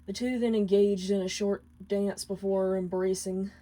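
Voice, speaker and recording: A woman's voice: medium pitch, little pitch movement, normal speed, mellow. A woman reading scripted fiction aloud as a storyteller. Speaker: fan fiction reader.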